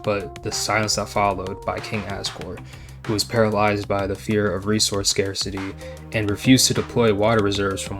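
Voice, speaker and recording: A man hosting a video essay, moving between scripted commentary and casual asides. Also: on the low side. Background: background music.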